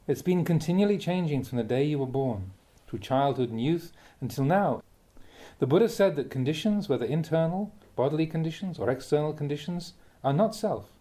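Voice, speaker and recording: A man reading a Buddhist teaching aloud as a Dhamma talk, in measured, deliberate phrases with emphatic contrasts. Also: on the high side, dark tone.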